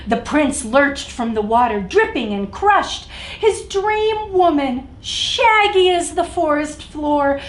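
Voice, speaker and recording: Woman, children's storyteller, high pitch, slow delivery, expressive, direct to kids, echoey room. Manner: expressive, addresses young listeners directly. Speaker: children's librarian, story time host. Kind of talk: animated read-aloud storytelling for children. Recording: echoey room, faint background noise.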